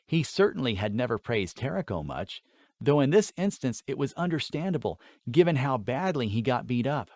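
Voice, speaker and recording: A man's voice: medium pitch, sing-song, normal speed, darkish tone, no room sound, clean studio sound. A man reading scripted fantasy fiction as a dramatic audiobook narrator.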